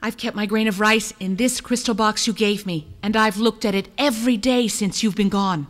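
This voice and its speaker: A woman's voice: medium pitch, steady tone, moderate pace, natural voice. A woman voicing an eager young princess in a storybook tale, earnest and emphatic toward her father. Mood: eager, proud. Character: eager, pleading young princess, devoted daughter.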